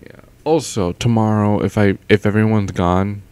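A man's voice: on the low side, steady tone, normal speed, a little mellow, smooth. Young man rambling into a home mic. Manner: meandering, lots of fillers and trailing off. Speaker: audio vlogger. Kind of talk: casual rambling monologue. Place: home, indoors. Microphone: close mic with pop filter.

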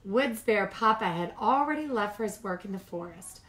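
A woman reading picture books aloud to young children as a storytime host, with animated delivery and chatty asides. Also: mid-range voice, normal speed.

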